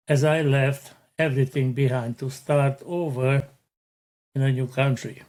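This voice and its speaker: A middle-aged man from Romania hosting a motivational podcast, speaking in a slow, deliberate and earnest way. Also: fairly high pitch, a little mellow. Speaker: podcast host.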